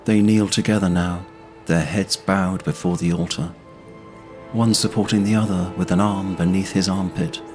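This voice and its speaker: A man's voice: low voice, steady tone, moderate pace, music in the background. A man narrating a scripted fantasy audio drama in a solemn storytelling manner.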